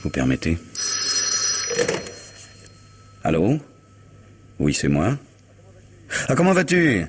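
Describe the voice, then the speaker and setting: An actor playing a suave, middle-aged lawyer in a French radio mystery, wry, urbane and unruffled. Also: male voice, a bass voice, husky, exaggerated voice. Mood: urbane, attentive. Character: suave, ironic middle-aged male lawyer, coolly self-possessed.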